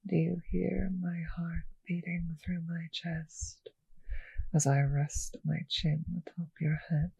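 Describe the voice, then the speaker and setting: A woman voicing a seductive, possessive and doting vampire noblewoman in an intimate ASMR roleplay, speaking in tender, teasing, breathy whispers. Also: fairly low pitch, flat delivery, slow, mellow, dry recording, highs cut off, heavily acted. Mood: tender, soothing.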